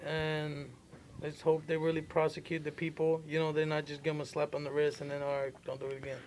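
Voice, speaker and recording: A man's voice: on the high side, completely flat delivery, a little fast, low-quality recording. A man with a slightly raised voice, chatting on a podcast. He tells anecdotes in a loose, swearing manner and throws questions to the group. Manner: storytelling, profane, questioning. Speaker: podcast co-host. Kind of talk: casual chat.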